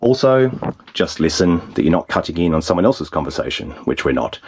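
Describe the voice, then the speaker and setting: Low-voiced man, casual instruction. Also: sing-song, a little fast, darkish tone, a little hiss or hum. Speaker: channel host.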